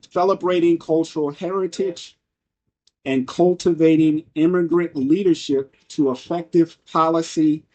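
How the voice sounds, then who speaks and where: A man hosting an interview, reading a measured, formal introduction before asking his guest questions. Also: fairly high pitch, a little mellow. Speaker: interviewer, host.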